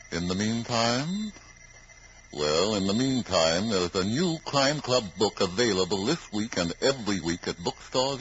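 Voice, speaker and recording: A courteous, faintly eerie librarian introducing old radio mysteries. His lowish voice moves dramatically up and down with deliberate phrasing. The recording is muffled. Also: fairly bright tone, a little hiss or hum, full character voice. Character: cordial, eerie male librarian of mystery club. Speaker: host and announcer. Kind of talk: 1940s radio mystery hosting, scripted.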